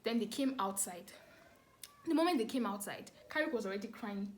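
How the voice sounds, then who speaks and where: A woman with a Nigerian accent telling a story casually to camera, animated and dramatic, repeating words for emphasis. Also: medium pitch, a little fast. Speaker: vlogger telling a family story.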